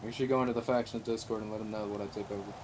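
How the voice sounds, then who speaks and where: A man talks very quickly over noisy game voice chat. His delivery is blunt and bossy, loose and sweary, as he plays along in an online roleplay. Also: fairly low pitch, steady tone. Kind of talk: casual gaming voice chat, half in character.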